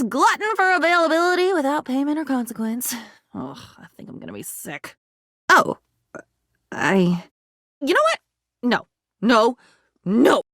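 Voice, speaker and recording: A woman's voice: sits high, a bit bright, no echo, clean studio sound, exaggerated voice. A woman playing a needy, bitter, melodramatic young female living diary in a video game, theatrical and wordy. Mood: desperate, resentful, then rattled, sardonic. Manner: theatrical, wordy, swinging between pleading and sardonic. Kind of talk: video game character acting.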